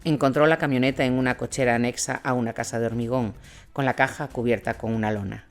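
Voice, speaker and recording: A man's voice: medium pitch, fairly even intonation, quick pace. A man delivers scripted dramatic narration of a war story. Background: instrumental music.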